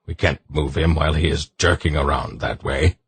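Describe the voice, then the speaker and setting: A gruff old man barking terse orders, as an audiobook reader plays him. The voice is low and deeply husky, and the recording is dry and close. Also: steady tone, normal speed, dry recording, exaggerated voice. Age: older.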